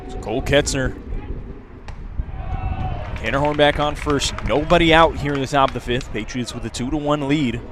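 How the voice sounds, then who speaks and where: A man's voice: medium pitch, moderate pace, long pauses. A man giving live play-by-play commentary as a baseball radio broadcaster. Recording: clear background noise. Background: outdoor ballpark ambience.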